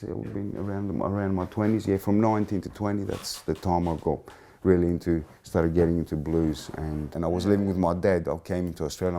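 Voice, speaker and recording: A Greek-born man in his fifties with a Greek accent, reminiscing in a casual interview with halting restarts. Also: deep voice, mellow. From Greece. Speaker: interviewee, blues musician.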